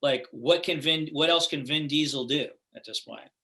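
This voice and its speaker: Middle-aged california guy, bright voice pitched a bit high, talks loose and rambly, lots of "like" and "right?". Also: moderate pace. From Los Angeles, USA. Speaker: guest actor. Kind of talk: casual interview chat.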